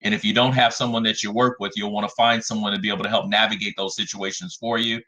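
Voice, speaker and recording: A man in his forties, a financial advisor giving a webinar talk in a measured way, often starting with "again". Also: fairly low pitch, little pitch movement, bright, forceful tone. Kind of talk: webinar presentation.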